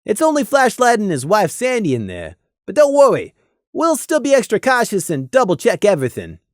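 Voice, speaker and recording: A winged pony sergeant from a fantasy storybook, acted out by a man, talks in a raised, lively voice. He reasons things through and reassures in a level-headed way. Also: high voice, fairly quick, no room sound, studio quality, voice pushed up. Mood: reassuring.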